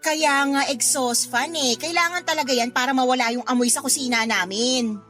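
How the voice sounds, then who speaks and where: A woman with a Filipino accent playing a sassy, defensive, thrifty neighbour in a radio drama, expressive and sarcastic, over music. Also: high voice, moderate pace. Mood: defensive, annoyed. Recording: music bed under the voice.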